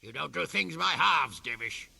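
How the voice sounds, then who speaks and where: Bright-voiced, swaggering, gravelly medieval lord. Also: male voice, on the high side, animated, moderate pace, exaggerated voice. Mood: gleeful, confident. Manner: hearty, swaggering, blunt. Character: boisterous, battle-hungry medieval nobleman, scornful of his foes. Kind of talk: dramatic video game cutscene acting.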